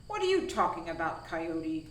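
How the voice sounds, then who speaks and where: A woman voicing a weary, forceful male wolf who leads the animals in a storybook, speaking emphatically. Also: fairly low pitch, big pitch swings, normal speed, echoey room, distant mic, a little hiss or hum, pitched below the performer's own voice. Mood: indignant. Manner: emphatic, commanding.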